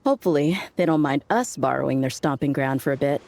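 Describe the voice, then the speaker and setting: A woman's voice: sits low, fast talker, vocal fry, darkish tone. A woman playing a breezy, cocky desert mercenary in a video game, talking in a casual, teasing way. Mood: easygoing, confident.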